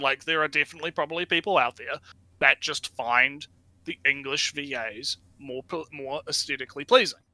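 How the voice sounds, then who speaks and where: A man's voice: a little high, sharp tone, no room sound, low-quality recording. A man hosting a reaction video, chatting casually with frequent repeats, filler words and swearing. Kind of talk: casual opinion chat.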